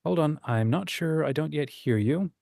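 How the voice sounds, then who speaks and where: A man's voice: on the high side, lively intonation, moderate pace, vocal fry, darkish tone, no echo, clean studio sound. A man hosting a livestream in a casual, informal and encouraging chatty way. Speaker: host of a writing community livestream.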